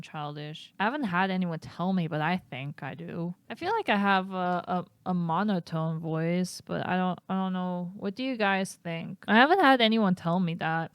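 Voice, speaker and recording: A woman's voice: on the low side, smooth, dry recording. A young woman streaming, reading test questions aloud and answering them in a casual, hesitant way, often laughing. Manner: reads items aloud then answers with hesitant, giggly asides; self-describes as flat and monotone.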